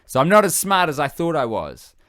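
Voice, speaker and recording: A man's voice: on the high side, big pitch swings, fairly quick, a bit bright, dry recording, studio quality, pitched above the performer's own voice. A man voicing a hapless, friendly, slightly dim young man in a comic story. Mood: sheepish.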